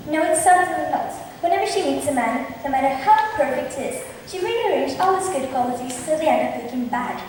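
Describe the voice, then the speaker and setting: A woman's voice: high-pitched, lively intonation, brisk, hardly any pauses, darkish tone. A woman in a student drama club playing a scheming young noblewoman and bride-to-be on stage. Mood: scornful. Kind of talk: amateur stage acting. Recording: very echoey hall, distant mic, faint hiss.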